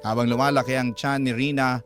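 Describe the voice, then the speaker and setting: A man's voice: medium pitch, flat delivery, brisk. A man with a Filipino accent reading a scripted first-person story as a dramatic radio narrator. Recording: loud music under the narration.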